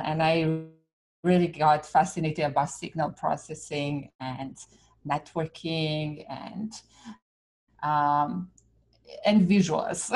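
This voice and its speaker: Slow, hesitant woman. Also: deep voice, a little mellow. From Romania. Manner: hesitant, many "um" and "uh" fillers. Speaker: panelist, researcher. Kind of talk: academic panel talk. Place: home room. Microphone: laptop webcam on a video call.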